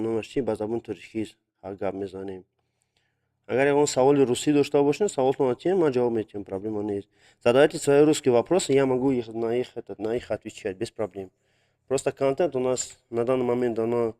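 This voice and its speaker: Young male streamer, halting and filler-heavy, mixes in Russian words, phone mic, casual. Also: medium pitch, mellow. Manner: hesitant, with many fillers, mixing in Russian words. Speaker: live-stream artist drawing viewer requests. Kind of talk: casual live-stream chat.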